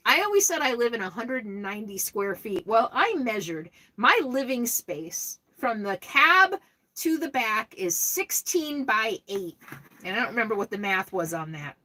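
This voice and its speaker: Loud, bright-voiced chatty woman. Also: on the high side, big pitch swings, unhurried, low-quality recording. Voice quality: loud voice that projects well. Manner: chatty, rambling, with frequent restarts and laughs. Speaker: RV vlogger answering live chat questions. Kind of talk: casual live-stream chat.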